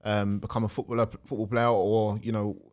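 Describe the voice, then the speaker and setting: A man's voice: fairly low pitch, level tone, brisk, a little mellow. A young man, a footballer guest chatting casually with lots of "you know what I mean". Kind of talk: casual podcast chat.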